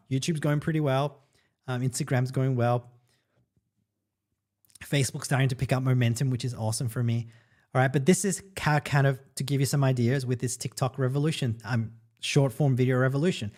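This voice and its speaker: An upbeat middle-aged man from El Salvador talks straight to his livestream viewers as a marketing coach. His voice sits at an ordinary middle pitch, and he keeps the chat loose with "right?" and "guys". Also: darkish tone. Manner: upbeat and chatty, peppering lines with "right?" and "guys". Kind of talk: casual livestream talk to viewers.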